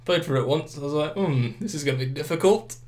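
British guy hosting a gaming podcast, bit nasal from a cold, rambly and casual, slightly higher voice. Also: quick pace, a little mellow. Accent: British English. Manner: rambling, restarts, acts out quotes. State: head cold, blocked nose. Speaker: podcast host.

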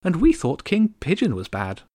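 Haughty little starling queen, high and prim, clipped and sharp, with a dry close sound, put on by a man reading a storybook. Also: wildly swooping pitch, normal speed, husky, no echo, full character voice. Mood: indignant. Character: tiny regal female starling queen, haughty.

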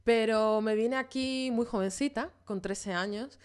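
A woman's voice: a little high, unhurried, a little mellow, smooth. A woman from Venezuela, a podcast guest, chatting casually and hesitantly with many fillers. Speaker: podcast guest, graphic designer. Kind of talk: casual interview chat.

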